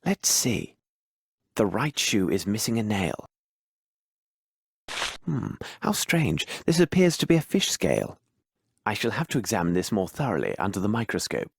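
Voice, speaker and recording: A man voicing a shrewd, imperious gentleman detective in a video game, with a British accent and a precise, formal, theatrical delivery. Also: lowish voice, huge pitch swings, moderate pace, gravelly, pauses often, breathy edge, no room sound, clean studio sound, full character voice. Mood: musing. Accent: British English. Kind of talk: dramatic video game voice acting.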